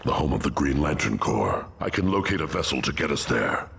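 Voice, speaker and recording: A man voicing an imperious, pompous gorilla supervillain with a deep gravelly growl in a video game cutscene. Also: very animated, a little fast, fairly bright tone, exaggerated voice. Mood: haughty, measured. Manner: pompous, commanding.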